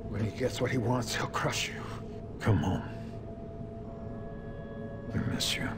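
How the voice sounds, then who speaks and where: A man's voice: lowish voice, expressive, takes it slowly. Earnest, weary older father. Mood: pleading. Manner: earnest, plain-spoken. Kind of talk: cinematic video game acting. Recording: loud music behind.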